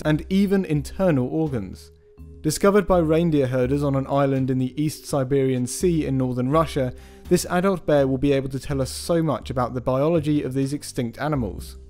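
Man, science news voice-over, mid pitch, even reading, soft background music. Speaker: news presenter. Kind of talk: scripted science news narration.

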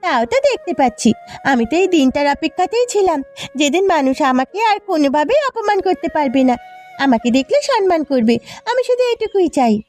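Woman playing a very high-voiced, devoted village wife. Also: sing-song, fairly quick, darkish tone, soft background music. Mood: earnest, hopeful. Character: long-suffering, devoted village wife longing for respect. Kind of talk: cartoon voice acting.

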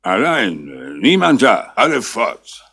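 Very slow, deep, halting man. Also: wildly swooping pitch, bright, forceful tone, full character voice. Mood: weary, evasive. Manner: halting, broken telegraphic German. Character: weary, footsore man speaking in fragments. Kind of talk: 1960s German radio play acting.

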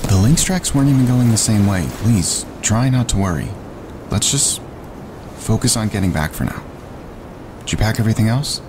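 A man's voice: lowish voice, expressive, normal speed, background noise. A man playing a practical, cautious camper who reasons firmly, in a naturalistic audio drama. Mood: practical, reassuring. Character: practical, cautious male wilderness camper, reassuring his partner.

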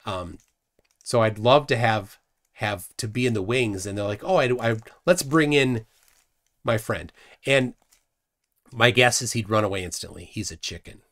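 A middle-aged man hosting a casual livestream from a room at home, scornful and animated, often trailing off quietly. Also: lowish voice, a little slow, long pauses. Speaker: host.

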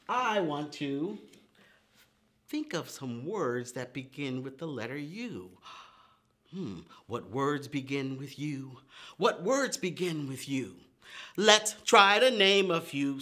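A man's voice: on the high side, sharp tone. A middle-aged man hosting preschool storytime, teaching with exaggerated, simple, repetitive phrasing for small children. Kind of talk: preschool lesson with chants and counting rhymes. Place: indoor storytime room with painted paper backdrop.

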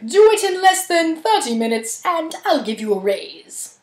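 A woman's voice: extremely high pitch, big pitch swings, unhurried, a little mellow, echoey room, full character voice. A woman voicing a scheming male villain, giving orders in an affected, commanding manner for an amateur cartoon audition. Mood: sinister, commanding.

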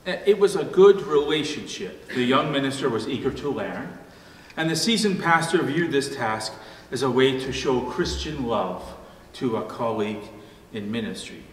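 A man's voice: medium pitch, lively intonation, normal speed. Pastor preaching in an echoey church, male. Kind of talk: sermon. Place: church room at a wooden pulpit. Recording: echoey church hall, distant mic, faint noise.

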